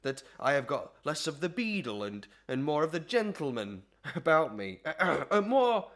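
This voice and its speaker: A man's voice: fairly high pitch, very animated, unhurried, a bit bright. A man playing a pompous, stammering comic beadle who talks in flowery phrases, in a Victorian melodrama. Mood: preening. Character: pompous, lovestruck comic beadle with genteel pretensions. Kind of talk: Victorian melodrama audio acting.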